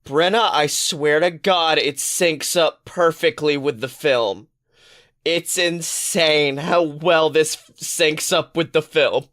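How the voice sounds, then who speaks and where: Male podcast co-host, slightly high voice, excitable and playful, casual comic storytelling. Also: slow pace. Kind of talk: casual comedic chat.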